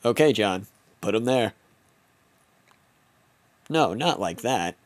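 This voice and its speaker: A man's voice: on the low side, animated, slow, no room sound. A young man narrating a home-made comedy film in the first person, with playful, mock-dramatic storybook delivery.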